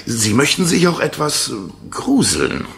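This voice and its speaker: An actor playing an eager, polite American travel businessman with a halting, hedging manner in a 1970s German radio play. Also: a little high, big pitch swings, gravelly, fairly bright tone, exaggerated voice. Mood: coaxing.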